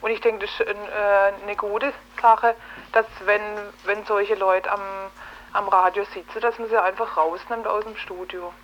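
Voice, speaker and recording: A woman's voice: medium pitch, little pitch movement, moderate pace, fairly bright tone, slight background noise, low-quality recording. An outspoken, opinionated woman arguing colloquially in a casual radio discussion, often ending phrases with tag questions. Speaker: radio station editorial staff member calling in.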